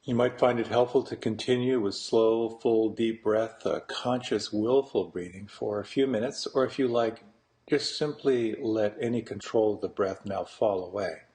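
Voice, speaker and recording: Male meditation teacher, lowish voice, unhurried guided-practice delivery with deliberate pauses. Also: darkish tone. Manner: slow, deliberate, with long pauses between phrases.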